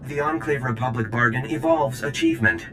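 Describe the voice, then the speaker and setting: A man's voice: fairly quick, close to an everyday voice. A man voicing an alien ambassador droid in a video game, formal and stilted, with oddly cryptic phrasing. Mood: formal, declarative. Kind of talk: video game character acting. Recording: clear rumbling noise behind.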